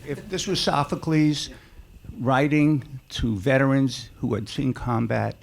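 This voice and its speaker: A man's voice: medium pitch, fairly even intonation, measured pace, vocal fry. A man making a blunt, declarative comment as an audience member.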